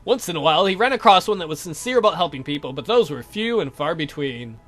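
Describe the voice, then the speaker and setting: A man's voice: a little high, lively intonation, fast talker, fairly bright tone. A man hosting a let's play, performing a visual novel aloud with irreverent asides. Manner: irreverent, swearing. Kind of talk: performed reading with joking asides.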